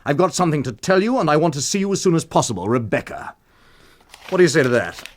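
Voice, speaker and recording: An actor playing a cocky, drunken blackmailer in a radio drama, with a taunting, familiar, insinuating manner. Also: male voice, a little high, very animated, fast. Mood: sly, wheedling.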